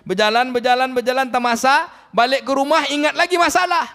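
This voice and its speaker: High-voiced preacher in a mosque. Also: male voice, brisk. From Riau, Indonesia. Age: 40s. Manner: lively, questions the congregation directly. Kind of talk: mosque sermon. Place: mosque hall. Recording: long mosque hall reverb.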